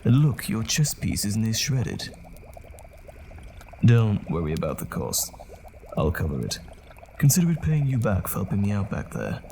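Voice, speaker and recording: A man's voice: lowish voice, big pitch swings, moderate pace, gravelly, pauses often, dark tone, slight background noise. A man playing a cheeky, gallant, battle-weary rogue in a fantasy audio drama, full of wry, teasing banter. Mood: weary, practical.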